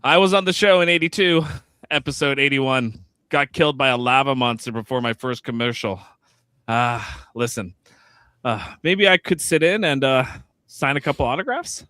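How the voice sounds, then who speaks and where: A man playing an eager, nervous bit-part actor who works as a convention emcee, animated and stammering in a live radio-theatre script read. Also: mid-range voice, moderate pace, clear, resonant tone, no echo, studio quality, close to an everyday voice. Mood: awkward.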